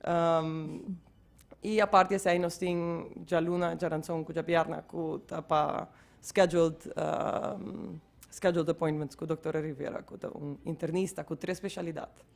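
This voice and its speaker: A woman's voice: sits low, steady tone, a little slow, creaky. A woman who works on a free clinic project, explaining it fluently in a radio interview and mixing in English terms.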